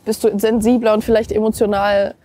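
A young woman singer from Germany chatting casually in an outdoor interview, relaxed and laughing easily, slipping English words into her talk. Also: mid-range voice, brisk. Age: young adult. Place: outdoors on steps by a river.

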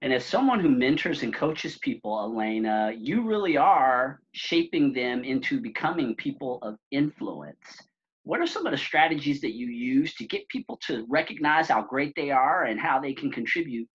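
A middle-aged man hosts an interview over a video call, his voice husky and slightly breathy. The call audio sounds dull and lo-fi, and he speaks in a measured, polished way with a few fillers. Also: mid-range voice, sing-song. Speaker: podcast host. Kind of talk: interview hosting. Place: office room with plain wall.

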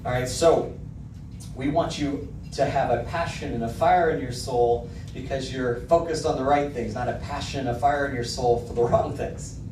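A man's voice: mid-range voice, background noise. Middle-aged male pastor in an echoey hall. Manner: reads song lyrics aloud with emphasis, pointing at the screen. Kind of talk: sermon. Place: church hall with projector screen. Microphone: handheld mic, filmed from the back of the room. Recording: echoey hall, mic heard from a distance.

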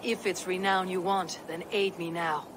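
A woman playing a stoic, commanding Viking warrior in a video game, speaking with measured authority. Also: on the low side, little pitch movement, close to an everyday voice. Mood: solemn, commanding. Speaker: game protagonist. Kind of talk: video game cutscene acting. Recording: outdoor ambient noise behind.

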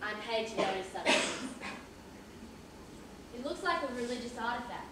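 A woman's voice: a little high, expressive, normal speed, lightly acted. A woman acting a pompous, condescending male police inspector who interrogates people in an amateur stage play. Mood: pompous, patronising. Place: large theatre stage, indoors. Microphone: far audience camera. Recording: distant echoey theatre stage, noisy.

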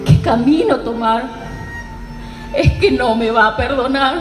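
A desperate outcast young daughter, acted by a woman in live radio theatre. She pleads in anguish with a high melodramatic voice that echoes in a hall over music. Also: a little slow, natural voice. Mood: anguished, pleading.

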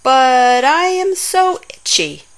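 A woman's voice: sits high, very animated, slow, clear, resonant tone, pitched above the performer's own voice, full character voice. A woman voicing a big, harmless, itchy T-Rex in a children's storybook, emphatic and pleading. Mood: loud, pleading.

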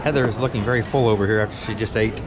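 A man's voice: on the low side, steady tone, racing, background noise. A man in his thirties hosting a travel vlog, chatting upbeat while tasting food at a casino buffet, filmed on a camera held at arm's length. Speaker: travel vlogger host. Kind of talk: casual travel vlog, partly scripted voice-over. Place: casino buffet restaurant, indoors. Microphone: handheld camera held at arm's length.

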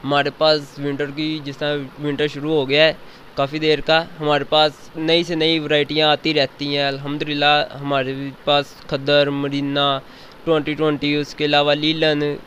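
A young man, a student and shop owner's son, earnestly pitching fabrics and guarantees into a handheld mic in a cloth warehouse. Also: monotone, moderate pace, a bit bright, a little hiss or hum. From Pakistan. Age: young adult. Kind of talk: product pitch. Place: indoors, small cloth warehouse.

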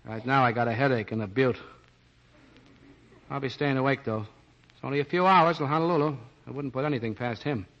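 A man's voice: medium pitch, fairly quick, a bit bright, close to an everyday voice. An actor playing a weary, plain-spoken American policeman, awkwardly smitten, in a 1940s radio drama. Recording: dull old 1940s radio recording.

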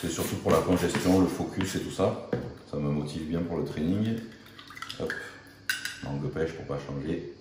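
A man's voice: extremely low pitch, normal speed. A man, chatting casually and informally as a fitness vlogger. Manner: informal, conversational. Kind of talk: casual vlog chat about training and diet. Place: home: open living area and garage-like home gym. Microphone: camera mic at a distance. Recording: echoey, distant camera mic with background noise.

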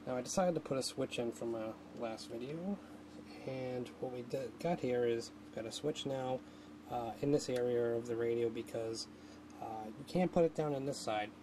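A man's voice: mid-range voice, normal speed. Casual man over noticeable background noise. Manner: informal, thinking aloud. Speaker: hobbyist host demonstrating a radio modification. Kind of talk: casual unscripted how-to narration. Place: indoor home workshop, at a workbench.

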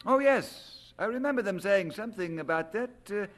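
An actor playing a reserved, inquisitive gentleman clergyman in a radio drama, polite and somewhat hesitant. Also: high-pitched, animated, moderate pace, soft background music. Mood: curious, guarded.

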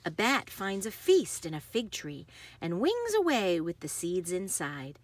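A woman reads a children's picture book aloud expressively, with deliberate phrasing and emphasis on action words. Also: lowish voice, wildly swooping pitch, no room sound. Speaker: read-aloud host.

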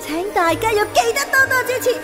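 A bubbly ghost pop idol from hell, with an extremely high girlish voice and showy, cutesy politeness. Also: animated, fairly quick. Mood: gushing. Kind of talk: radio comedy drama acting. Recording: loud music behind the voice.

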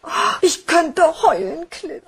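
An actress playing an anxious, pleading young fiancée and accomplice in a German crime radio play. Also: female voice, very high-pitched, sing-song, slow pace, vocal fry, heavily acted. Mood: fearful, pleading.

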